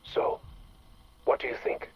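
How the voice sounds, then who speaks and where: An actor playing a ruthless patron of secret science in a game, husky and courtly, persuasive and quietly menacing. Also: male voice, a little slow, natural voice. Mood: courtly, flattering. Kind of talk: dramatic game voice acting. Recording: muffled lo-fi radio-like log.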